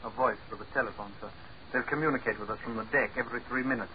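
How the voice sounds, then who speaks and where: An actor playing a deferential scientist in a 1940s radio drama, who explains things patiently and politely. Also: male voice, mid-range voice, fast, lightly acted. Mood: explaining. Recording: muffled old radio broadcast with faint hiss.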